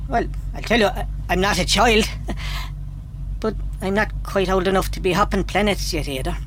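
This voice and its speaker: A woman playing a casual, shifty, apologetic teenage alien in a comedic audio drama, halting and evasive. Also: mid-range voice, slight background noise, natural voice. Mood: sheepish, evasive.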